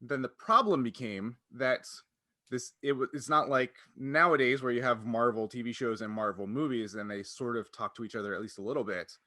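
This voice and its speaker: A man with a bright, forward voice and a middling pitch talks as a podcast host. He explains things in a loose, conversational way, slipping into quoted lines and leaning on "like." The sound is dry. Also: fairly quick, no echo. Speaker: podcast host and game master. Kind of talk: casual explanatory podcast chat.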